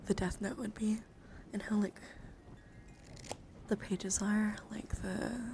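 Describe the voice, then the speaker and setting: Softly whispering woman, noisy ASMR livestream. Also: medium pitch, little pitch movement, takes it slowly. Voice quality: soft, breathy, often whispered. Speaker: livestreamer and VTuber host. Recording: clearly noisy ambient background.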